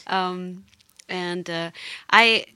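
Georgian woman musician chatting, bright voice, dry close sound, lots of restarts. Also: lowish voice, fairly even intonation, clean tone, dry recording. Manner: reflective, halting with restarts. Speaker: musician guest. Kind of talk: casual radio interview chat.

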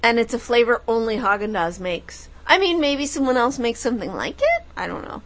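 A woman co-hosting a call-in radio show, chatting loosely with quick jokes and asides. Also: a little high, sing-song, a bit bright. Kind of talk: casual radio chat taking callers.

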